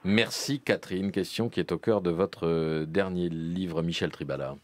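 A man's voice: deep voice, normal speed, a little mellow. A man hosting a radio phone-in debate from a studio, speaking into a close microphone. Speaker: radio host.

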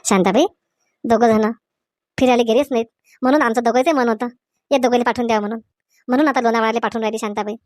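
A woman's voice: fairly high pitch, fairly even intonation, rapid-fire, pauses often, a little mellow, no echo, clean studio sound, close to an everyday voice. A woman voicing a kindly middle-aged mother-in-law in a comedy cartoon, supportive and reassuring, with a rural Maharashtrian accent. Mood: explaining. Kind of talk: Marathi comedy cartoon dialogue.

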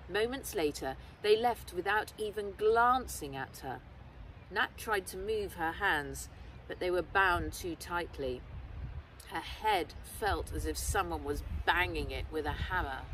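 Middle-aged female storybook narrator, unhurried, recorded outside at a distance with clear ambient noise. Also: on the low side, a bit bright. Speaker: author reading own novel aloud. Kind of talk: expressive scripted storybook narration. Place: outdoors in woodland. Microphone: camera a short distance away. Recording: outdoors, distant mic, clear background noise.

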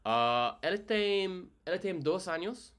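A young American man, a native English speaker, chatting casually in halting beginner Portuguese as he searches for words. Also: high-pitched, expressive, fairly bright tone, clear, resonant tone. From United States. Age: young adult. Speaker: vlogger, beginner Portuguese learner. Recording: noisy ambience, action-camera and video-call sound.

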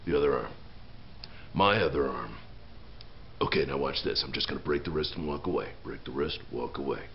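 A man voicing a calm, self-assured martial arts instructor in a cartoon, deadpan and gravelly. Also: very deep voice, brisk. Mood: self-assured, instructive. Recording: dull lo-fi audio, faint noise.

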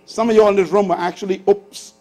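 A Bahamian man in his sixties preaching a sermon on a church stage, swinging from loud bursts to hushed asides. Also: sits high, steady tone, fast, soft background music. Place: large church auditorium stage with audience.

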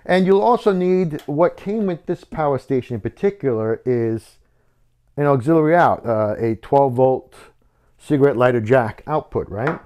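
A man's voice: mid-range voice, animated, moderate pace, darkish tone. A man in his fifties hosting a casual how-to tutorial, explaining step by step in a conversational way. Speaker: host of a how-to video channel. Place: wooden home workshop, indoors.

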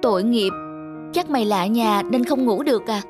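Woman playing a high-voiced, kind young dog owner. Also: normal speed, music in the background, lightly acted. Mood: concerned. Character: kind, anxious young female dog adopter. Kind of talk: animated cartoon dubbing.

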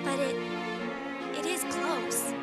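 A woman's voice: sits high, slow. A woman playing a sweet, wide-eyed young girl full of wonder in a video game cutscene. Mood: wistful. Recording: very loud music over voice.

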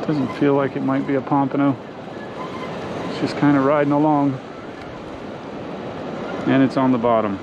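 A man's voice: mid-range voice, level tone, normal speed, long pauses. A man casually talking to himself while fishing from an ocean pier, recorded on a body-worn action camera. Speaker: fishing vlogger. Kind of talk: casual first-person commentary. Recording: muffled action-camera audio with wind and surf.

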